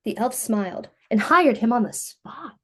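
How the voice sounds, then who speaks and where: A woman reading a picture book aloud to young children in an expressive storytelling manner. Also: fairly high pitch, normal speed, slightly rough.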